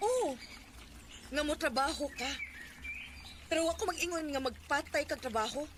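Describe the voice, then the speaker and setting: A woman's voice: high voice, moderate pace, close to an everyday voice. A woman with a Cebuano Filipino accent acting a hurt, neglected young girlfriend in a radio drama. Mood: hurt, confronting. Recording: noisy radio drama recording.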